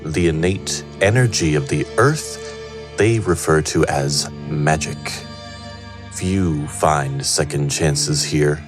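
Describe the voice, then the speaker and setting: A man performing measured, solemn scripted narration of fantasy lore. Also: rumbling low. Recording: music behind the narration.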